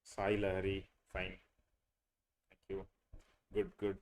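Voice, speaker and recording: A man's voice: deep voice, completely flat delivery, slow pace, a little hiss or hum. A young man, teaching a live online class on a video call, hesitant with many fillers and restarts. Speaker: online course instructor.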